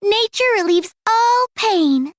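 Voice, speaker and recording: A woman voicing a gentle, childlike forest spirit in a video game, sweet and innocent. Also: very high voice, animated, takes it slowly, noisy background, heavily acted. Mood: gentle, hopeful. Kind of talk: video game hero voice lines.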